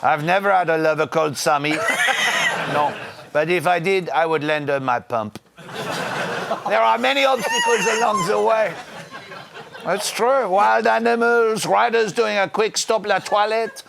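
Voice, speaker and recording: A man's voice: sits high, unhurried, vocal fry, very noisy. A man playing a lecherous, pompous French cycling pundit in a put-on French accent, full of innuendo. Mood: leering. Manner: innuendo-laden, theatrical, drawled. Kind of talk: comedy sketch in character.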